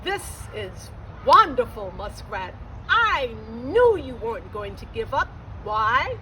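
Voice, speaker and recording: A woman voicing a kindly, hopeful male leader in a children's legend, speaking in a deliberate, encouraging way. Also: fairly high pitch, very animated, bright, forceful tone, voice pushed down, heavily acted. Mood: pleased. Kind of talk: children's storytelling. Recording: distant mic, noisy background.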